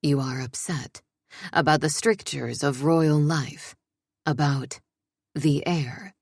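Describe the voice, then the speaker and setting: An actor voicing a commanding, controlled older royal man in audiobook dialogue, measured and authoritative. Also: fairly high pitch, steady tone, creaky, dry recording, clean studio sound, heavily acted. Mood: stern.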